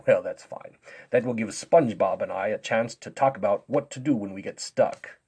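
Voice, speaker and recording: A man playing a patient, soft-spoken teacher with a gentle, unhurried manner in a kids' multiplication skit. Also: lowish voice, husky. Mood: amused, polite.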